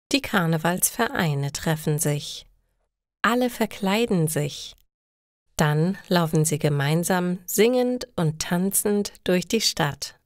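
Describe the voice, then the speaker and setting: Slow, low-voiced woman narrating learner stories. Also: no room sound, studio quality. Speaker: storyteller for German learners. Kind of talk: slow, careful scripted narration for language learners.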